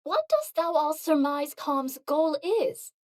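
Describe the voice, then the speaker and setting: A woman's voice: sits high, sing-song, slow pace, a little mellow, exaggerated voice. A voice actress playing a noble female warrior who talks in an old-fashioned, courtly way. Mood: reflective.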